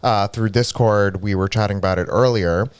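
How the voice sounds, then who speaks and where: A male streamer with a congested, stuffy nose chats casually with his audience. His tone is smooth and resonant. The sound is dry and close. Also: lowish voice, moderate pace, dry recording. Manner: chatty, reads viewer comments aloud, animated asides. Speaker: streamer co-host. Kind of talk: casual live-stream chat.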